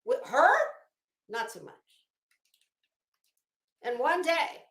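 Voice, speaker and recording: An American woman hosting a commentary show, reading documents aloud dramatically and adding casual, emphatic asides with drawn-out phrasing. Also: sits high, a bit bright, reverberant.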